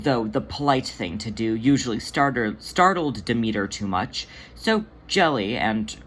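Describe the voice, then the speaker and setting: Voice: mid-range voice, a bit bright, slightly rough. A narrator reading a story aloud expressively, now and then stumbling over words. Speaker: narrator, fan fiction reader.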